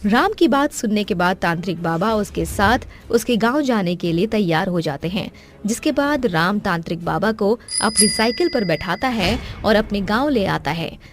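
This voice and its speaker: A woman's voice: mid-range voice, animated, moderate pace. A woman reading scripted narration for a cartoon horror story.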